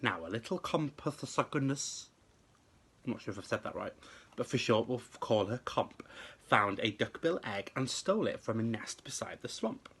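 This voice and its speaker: A man reading a children's storybook aloud like a teacher to pupils, with expressive, emphatic, rhythmic delivery. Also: medium pitch, moderate pace, husky.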